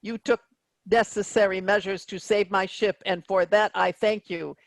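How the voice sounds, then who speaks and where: Stern spaceship AI captain voiced by an actor, formal and sardonic, creaky voice, close dry sound. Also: mid-range voice, no room sound, natural voice. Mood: deadpan, formal. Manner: measured, formal, deadpan. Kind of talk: virtual staged reading of a sci-fi comedy.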